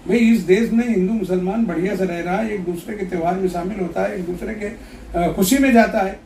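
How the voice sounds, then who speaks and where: A man's voice: high-pitched, steady tone, brisk, gravelly, darkish tone. A man, speaking as a politician in an interview, explaining his case emphatically and insistently. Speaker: opposition party politician giving an interview. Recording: echoey room, distant mic, background noise.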